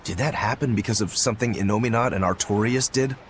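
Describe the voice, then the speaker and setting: An actor playing a practical, watchful sailor with a casual, dry manner in a game cutscene. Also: male voice, lowish voice, fast, slight background noise, close to an everyday voice. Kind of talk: animated game cutscene acting.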